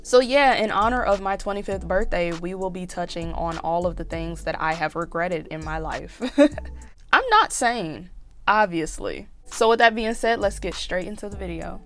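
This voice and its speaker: A young woman in her twenties talks straight to camera in a loose, confessional vlog. Her voice sits a bit low for a woman and has a slight allergy rasp. She talks candidly and loops back on herself with fillers. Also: lively intonation, normal speed, a bit bright, faint music behind. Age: 20s. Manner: chatty, candid, frequent fillers and restarts. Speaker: YouTube vlogger. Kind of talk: casual confessional vlog chat.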